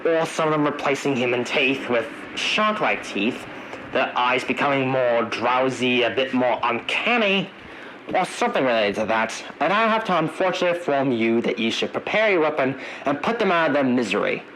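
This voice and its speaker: A man narrating an analog horror emergency briefing in a halting, wordy way, searching for his words. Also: mid-range voice, expressive, pushed and bright, slight background noise, poor audio.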